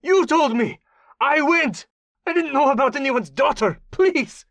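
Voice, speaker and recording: A wounded warlord taken captive in an audiobook thriller. His thick foreign accent rides on a voice pushed high and swinging widely, snarling with defiance and desperate pleading. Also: lively intonation, moderate pace, a bit bright, no room sound, dull audio. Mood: agitated, frantic. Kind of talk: audiobook character voice.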